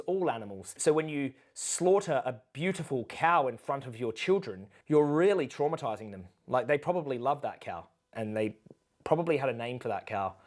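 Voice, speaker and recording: Male commentator, mid-pitched, earnest and admonishing, off-the-cuff reaction delivery with pointed rhetorical questions. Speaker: animal rights commentator.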